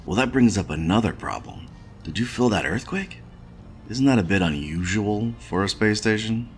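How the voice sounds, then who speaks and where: A man's voice: fairly low pitch, animated, normal speed, faint music behind. A man voicing a skeptical, stubborn space station repairman in a noir adventure game, with a wry, questioning manner. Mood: skeptical, probing. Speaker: video game protagonist. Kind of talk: noir point-and-click game voice acting.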